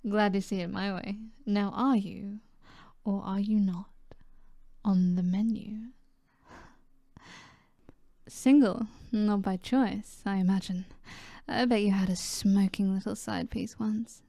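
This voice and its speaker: A woman with a British accent voicing a seductive, self-assured mob boss in an ASMR roleplay, soft and flirtatious, often dropping to a whisper. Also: medium pitch, gravelly, no echo, clean studio sound. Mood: teasing, seductive. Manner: flirtatious, teasing, unhurried.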